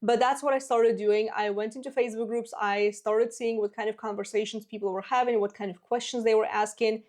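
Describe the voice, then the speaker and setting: A confident woman in her twenties whose first language is Russian, handing out business advice on her own show. Her voice sits a little high, and she talks in a direct, practical way. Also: fairly even intonation, brisk, hardly any pauses, darkish tone. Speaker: business podcast host. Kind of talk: solo business advice talk.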